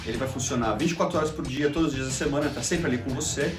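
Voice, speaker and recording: A man's voice: medium pitch, racing, recorded from a distance. A Brazilian man with a Brazilian Portuguese accent, hosting a casual vlog and chatting to camera with lots of fillers. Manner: chatty, conversational, frequent fillers. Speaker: YouTube channel host. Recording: roomy echo, faint music behind.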